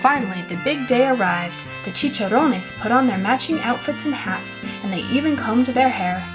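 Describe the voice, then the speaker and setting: A woman reading a children's storybook aloud in an animated, expressive way, stretching key words for emphasis. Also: fairly high pitch, moderate pace, low-quality recording. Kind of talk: animated read-aloud narration. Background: music with plucked guitar.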